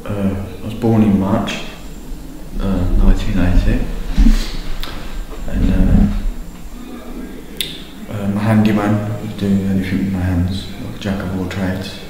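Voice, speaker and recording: A man in his thirties, a hostel resident speaking haltingly with many fillers in a documentary interview. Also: low voice, level tone, noisy background. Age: 30s. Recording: echoey hall with music behind.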